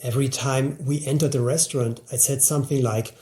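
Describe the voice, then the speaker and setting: A man's voice: mid-range voice, steady tone, a little fast, darkish tone. A man in his forties delivering a scripted promotional narration as a course presenter, speaking to the listener directly in a motivational way. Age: 40s.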